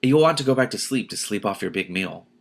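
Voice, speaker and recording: A man's voice: fairly low pitch, animated, fast talker, creaky. A man voicing a clever, desperate captive heroine in a storybook, speaking persuasively and coaxingly. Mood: urgent, persuasive.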